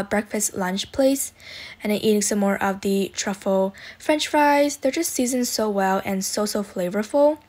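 Young female food vlogger, mid-pitched, casual voice-over review, gushing and heavy on superlatives. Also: a little mellow. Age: young adult.

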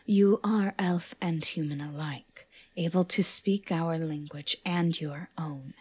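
Breathy, possessive elven queen acted by a woman. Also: sits low, no room sound, full character voice. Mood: solemn, reassuring. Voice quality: breathy, often whispery. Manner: solemn, measured, intimate. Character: possessive, regal yandere elven queen, soothingly devoted. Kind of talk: slow roleplay audio drama.

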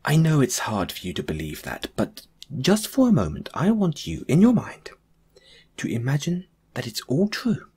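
A man speaking in a soft, breathy, sometimes whispered voice, gently reassuring the listener in an intimate comfort roleplay monologue. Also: fairly high pitch, wildly swooping pitch, moderate pace. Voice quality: breathy, hushed, at times whispering. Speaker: audio roleplay performer.

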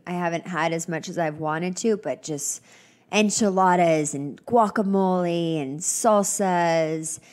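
A young woman talks casually on her own podcast, upbeat and rambling with lots of little fillers. Her voice sits a touch low and comes out slightly nasal and congested, as if she is getting over a cold. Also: a little mellow. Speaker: podcast host. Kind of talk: casual solo podcast chat.

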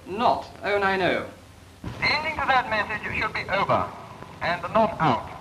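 A man instructing trainees in radio procedure, brisk and corrective, in 1940s training film dialogue. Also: high voice. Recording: echoey 1940s film soundtrack with hiss.

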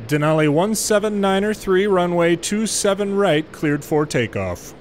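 A man with a slightly high-pitched voice narrates his airport game. He gives measured controller-style instructions and drops into casual muttered asides, with some ambient noise behind him. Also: lively intonation. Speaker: gamer and former air traffic controller. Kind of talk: gameplay commentary with air traffic control radio phraseology.

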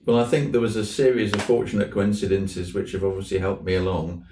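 Male, seventies, deep-ish, unhurried raconteur being interviewed. Recorded in an echoey room. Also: level tone, dark tone, slight background noise. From southwest England. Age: 70s. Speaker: guest, fly fishing instructor. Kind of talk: reminiscing interview conversation. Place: indoors in a tackle shop. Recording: roomy, slightly distant mic.

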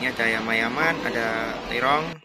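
A man's voice: mid-range voice, moderate pace. A young man narrating a casual road trip vlog as he goes, recorded on a camera mic in a car and at a restaurant. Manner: easygoing, commenting as he goes. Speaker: vlogger. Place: inside a moving car and at a restaurant. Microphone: camera or phone mic. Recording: loud car and road noise behind.

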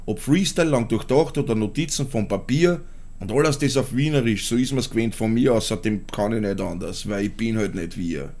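A man's voice: fairly low pitch, brisk, darkish tone. A man in his forties with a Viennese accent, vlogging from a small home studio and then performing a spoken-word rap, blunt and crude. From Austria. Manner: blunt, crude, laughs at his own jokes. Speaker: musician and vlogger.

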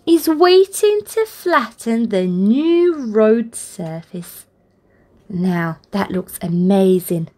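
A woman reading a picture book aloud for toddlers, with deliberate, expressive phrasing and encouraging asides. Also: mid-range voice. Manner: deliberate, expressive phrasing aimed at small children. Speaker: children's storybook reader, channel host.

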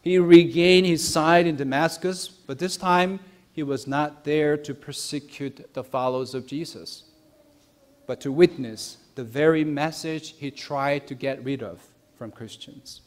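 Higher-pitched young male preacher. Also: fairly even intonation, measured pace. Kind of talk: sermon. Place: church sanctuary.

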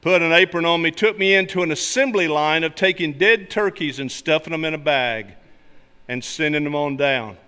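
An older man, a pastor delivering a sermon in an emphatic, deliberate way, speaking from a church pulpit. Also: a little high, sharp tone, clear, resonant tone. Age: older, 60s or 70s. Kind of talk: sermon with illustrations and scripture readings. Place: large church sanctuary, at a wooden pulpit. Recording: reverberant hall sound.

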